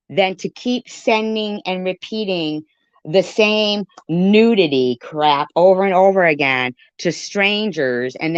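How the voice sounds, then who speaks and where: A woman's voice: lowish voice, a bit bright, clear, resonant tone, dry recording, highs cut off. A woman giving an informal live-stream rant in an irritated, emphatic way, repeating phrases to drive her point home.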